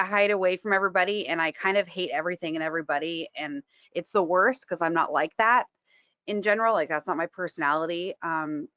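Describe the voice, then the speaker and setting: A woman's voice: lowish voice, a bit bright, dry recording, dull audio. A woman in her thirties from Washington State, talking frankly on a panel and laughing easily between fillers. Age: 30s. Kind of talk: candid panel talk.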